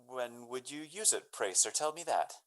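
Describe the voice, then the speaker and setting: A man playing an earnest, courteous young gentleman lover in a Shakespearean radio drama, speaking florid verse. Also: mid-range voice, lively intonation, moderate pace, natural voice, rough recording. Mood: helpful, eager. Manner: hesitant openings, then florid Shakespearean verse.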